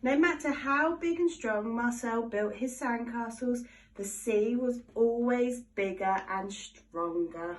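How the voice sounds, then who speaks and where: A woman reads a children's picture book aloud with animated, expressive storytelling. Her voice sits on the higher side. She is recorded from a little distance from the microphone. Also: slow pace. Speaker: storybook reader.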